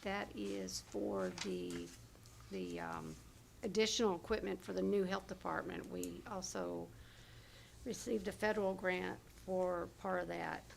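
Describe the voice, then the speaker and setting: A hoarse woman presenting health department budget figures at a county committee meeting, with many fillers and self-corrections. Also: fairly low pitch, expressive, unhurried, a little hiss or hum. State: hoarse, losing her voice. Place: county government meeting room.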